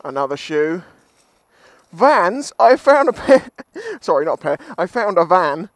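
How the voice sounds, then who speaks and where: A man's voice: high-pitched, sing-song, unhurried, no echo. A British man giving casual, jokey, sweary commentary as he vlogs outdoors on a seashore. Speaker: beachcombing vlogger.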